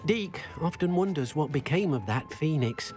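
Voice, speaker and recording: An actor voicing a humble, wistful house-elf in a fantasy video game. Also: male voice, on the high side, animated, moderate pace, a little mellow, lightly acted. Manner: humble, speaks in third person. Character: humble, wistful house-elf, speaks of himself in third person. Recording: game audio under clear music.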